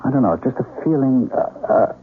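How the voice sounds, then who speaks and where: An actor playing an anxious young father in a radio drama, earnest, hesitant and halting. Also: medium pitch, expressive, natural voice. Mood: troubled, brooding. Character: anxious, hesitant husband and new father, former engineer. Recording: tinny, hissy old radio broadcast.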